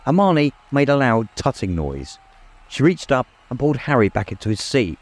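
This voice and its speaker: Expressive male storyteller with lively pitch. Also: normal speed. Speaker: audiobook reader. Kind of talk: dramatic scripted story narration. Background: music, immersive production.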